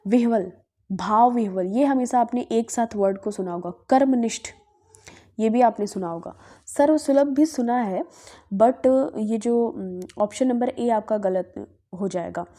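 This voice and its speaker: A woman's voice: on the high side, a little slow, mellow. A woman from Bihar teaching Hindi grammar, working through past exam questions and answering her own questions as she explains. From Bihar, India. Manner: asks herself questions and answers them, often says "ठीक है".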